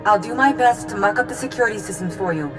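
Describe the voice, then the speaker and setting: A woman's voice: mid-range voice, animated, music in the background, natural voice. A woman as a gutsy, reverberant army sergeant. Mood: urgent, gutsy. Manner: brisk, determined, military. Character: gutsy female special forces sergeant, captured and defiant. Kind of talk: video game cutscene acting. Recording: long hall reverb.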